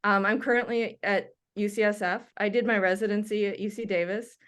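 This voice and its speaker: Chatty woman with a smooth voice. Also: medium pitch, steady tone, a little fast, fairly bright tone. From Denmark. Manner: chatty, many ums and restarts. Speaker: guest, doctor and researcher. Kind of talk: conversational interview.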